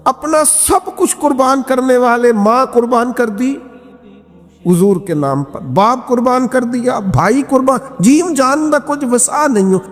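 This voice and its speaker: High-pitched Punjabi-accented male preacher, echoing hall. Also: a little mellow. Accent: Pakistani Punjabi. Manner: impassioned, rhetorical, slow emphatic delivery with swelling exclamations. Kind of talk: sermon with storytelling and recited Punjabi verse. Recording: echoey hall reverb with music behind. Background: background music.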